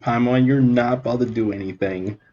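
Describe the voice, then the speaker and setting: A man's voice: medium pitch, fairly even intonation, brisk, dull audio, a crowd in the background. A young man giving casual, wry and sarcastic reaction commentary as he plays a video game. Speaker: gaming video creator.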